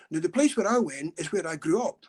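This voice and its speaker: High-voiced Scottish man, urgent and earnest. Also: husky. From Scotland. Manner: earnest, urgent, talks fast and at length. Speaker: campaigner and guest. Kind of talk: emotional casual storytelling.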